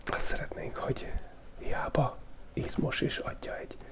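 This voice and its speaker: A man from Hungary with a cold, whispering playful ASMR commentary as he sketches, through a small mic taped right to his face. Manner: playful, joking asides while sketching. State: has a cold. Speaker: YouTube drawing channel host. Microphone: small mic taped to his face, very close.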